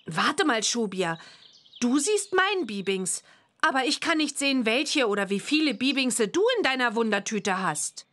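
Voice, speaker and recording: High, perky young witch girl. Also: big pitch swings, normal speed, a bit bright. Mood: curious, wary. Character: bright, eager young witch girl heroine. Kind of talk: animated children's game voice acting.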